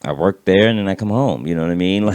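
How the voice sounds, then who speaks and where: Raspy low-voiced man in casual chat. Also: animated, fairly quick, a little mellow. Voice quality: raspy, vocal fry. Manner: rambling, repeats words, swears freely. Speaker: podcast co-host.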